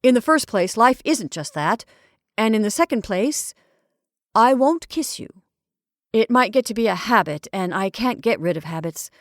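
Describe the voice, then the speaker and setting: A woman's voice: a little high, lively intonation, moderate pace, no echo. A woman reading as an earnest, pedantic young male scholar who lectures in precise, formal sentences, recorded dry and close.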